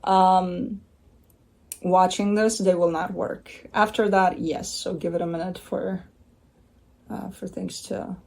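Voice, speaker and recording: A woman's voice: on the low side, fairly even intonation, slow pace, vocal fry, darkish tone. A woman giving a casual tarot reading at home, talking in a chatty, rambling way with blunt asides. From Romania. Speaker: tarot reader. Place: home by a window with a bird feeder.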